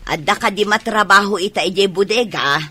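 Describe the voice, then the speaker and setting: A woman's voice: medium pitch, a little fast, sharp tone, breathy edge, dry recording. A woman playing an everyday barrio woman in a radio drama, with an Ilocano Filipino accent. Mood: matter-of-fact.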